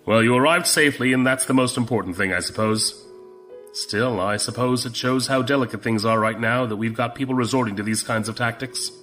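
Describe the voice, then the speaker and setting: An actor playing a seasoned, fatherly admiral of a space empire in an audio drama, measured and authoritative. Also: mid-range voice, fairly bright tone, soft background music, close to an everyday voice. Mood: apologetic, measured.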